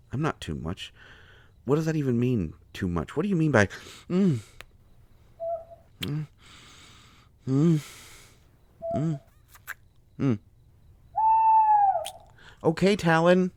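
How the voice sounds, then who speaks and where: A man playing a devoted, anxious healer in an intimate roleplay audio drama, speaking earnestly and haltingly to a partner. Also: mid-range voice, a little mellow, no room sound. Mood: hurt, confused.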